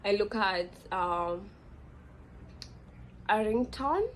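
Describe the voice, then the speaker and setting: Young Kenyan woman, casual vlog monologue, meandering with fillers, medium pitch, light hiss behind. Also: lively intonation, extremely slow, poor audio. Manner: rambling, frequent fillers and self-corrections. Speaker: vlogger.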